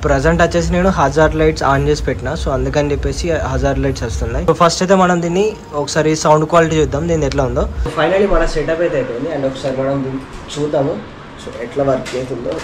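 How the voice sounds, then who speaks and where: A Telugu man presents a casual how-to in a slightly high voice. He talks quickly, mixing in English words as he goes through each step. There is clear ambient noise in the recording. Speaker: vlogger presenting an installation guide.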